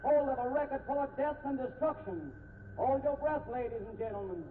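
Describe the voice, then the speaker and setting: A man's voice: very high-pitched, phone-quality sound. An actor playing a dramatic rodeo announcer in a 1950s radio drama, drawing out his phrases. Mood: hyping. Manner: declamatory, drawn-out phrases. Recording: distant, thin, noisy old radio.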